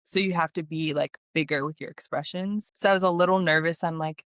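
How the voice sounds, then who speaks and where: A woman's voice: low-pitched, steady tone, fairly quick, a bit bright, no echo, studio quality. A woman speaking as an actress guest in a casual podcast interview, often saying "like" and quoting her own reactions.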